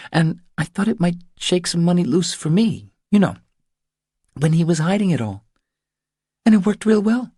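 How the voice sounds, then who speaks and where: A man's voice: a little high, mellow, breathy edge, no echo, clean studio sound, pitched above the performer's own voice. A man voicing an anxious, stubborn woman who confides her troubles in an earnest, pleading way, for an audiobook. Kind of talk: audiobook character dialogue.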